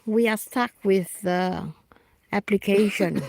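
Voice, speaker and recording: A woman from Singapore with a Singaporean accent, chatting casually on a live stream while fishing outdoors, halting with fillers and restarts. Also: medium pitch, gravelly, darkish tone, dry recording, clean studio sound. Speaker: vlogger.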